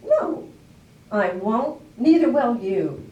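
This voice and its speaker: A woman's voice: a little high, very animated, slow pace, warm tone, highs cut off. A woman playing a stubborn, practical farm wife in an amateur stage reading, emphatic and scolding in a homespun way. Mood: chiding, stubborn. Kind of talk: amateur readers theater stage acting. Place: live play in a public library room. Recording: echoey room, distant mic.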